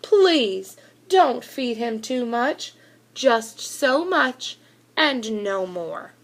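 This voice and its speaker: Woman reading a chiding storybook fish expert, unhurried. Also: fairly high pitch, expressive, heavily acted. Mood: firm advice. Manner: chiding, instructive. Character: knowing, mildly scolding man who rescues fish.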